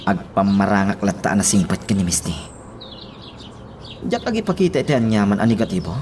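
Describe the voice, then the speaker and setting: A man's voice: on the low side, sing-song, fairly quick, a little mellow, a little hiss or hum. An actor playing a scheming man who hides his plot behind a kind front in a radio drama. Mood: scheming, gloating. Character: scheming man, outwardly kind, secretly plotting.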